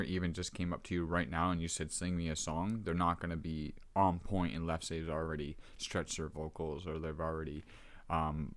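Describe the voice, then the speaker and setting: A very deep-voiced man talks loosely to his camera at home, his throat sore and rough. He rambles and restarts, with plenty of ums, and the sound is close and dry. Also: dry recording. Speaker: vlogger. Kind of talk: casual talk to camera.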